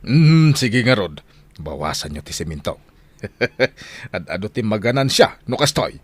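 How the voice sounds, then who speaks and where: A man's voice: on the low side, big pitch swings, unhurried. An actor with a Filipino accent playing a stubborn, money-minded husband and father in a radio drama, grumbling and dismissive. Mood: scheming. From Philippines. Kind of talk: Philippine radio drama acting.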